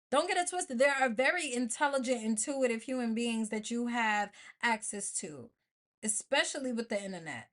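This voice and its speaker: A woman's voice: high voice, a bit bright. Emphatic American woman giving motivational podcast talk. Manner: emphatic, colloquial, repeats phrases for emphasis. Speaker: podcast host.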